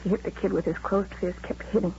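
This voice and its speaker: Gravelly, bitter crook's wife played by an actress. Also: female voice, medium pitch, steady tone, fast, warm tone, natural voice. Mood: hurt, indignant. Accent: American. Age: middle-aged. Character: bitter, weary late-thirties wife of a car thief. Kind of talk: 1950s radio drama acting. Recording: dull, slightly noisy old radio recording.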